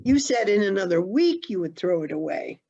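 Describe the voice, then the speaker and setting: A woman's voice: mid-range voice, very animated, normal speed, warm tone, slightly rough. An actress playing a prim, timid, domestic older society lady, fussy and proper in a stage comedy, heard through dull video call audio.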